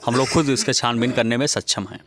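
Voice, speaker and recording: A young man from Uttar Pradesh speaks in an interview as if making a formal appeal. His voice sits at a middle pitch. He is earnest and halting, often reaching for filler words. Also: fairly even intonation, a bit bright, slight background noise. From Uttar Pradesh, India. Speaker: victim's younger brother giving a statement. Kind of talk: on-camera interview statement.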